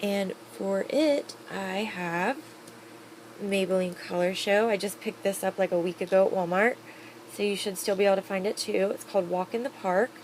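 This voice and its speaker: A woman's voice: medium pitch, fairly even intonation, moderate pace, a little mellow, slight background noise, low-quality recording. A woman vlogger reviewing products in a casual, chatty, upbeat way, rambling with fillers. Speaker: beauty vlogger.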